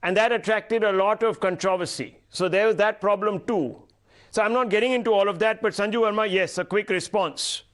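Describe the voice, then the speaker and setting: A male news anchor with a fairly high voice runs a studio debate. He speaks firmly, breaking in to steer the panel and add his own comments. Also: a little fast, a bit bright. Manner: firm, cuts in to steer speakers.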